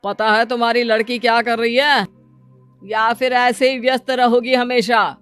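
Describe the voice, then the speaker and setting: A woman's voice: a little high, little pitch movement, moderate pace, a bit bright, clean tone, close to an everyday voice. A woman playing a dismissive, complaining middle-aged father in a cartoon story. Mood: irritated. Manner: sarcastic, scornful, complaining. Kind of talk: acted cartoon story dialogue. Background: music.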